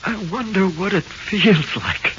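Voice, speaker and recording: An actor playing a frightened, slangy condemned convict with an American accent in a 1940s radio horror play, halting and pleading. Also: male voice, high voice. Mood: uneasy. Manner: slangy, halting, swinging from flat confession to anxious pleading. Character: frightened condemned convict awaiting execution, pleading, uneducated tough. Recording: muffled, hissy 1940s radio recording.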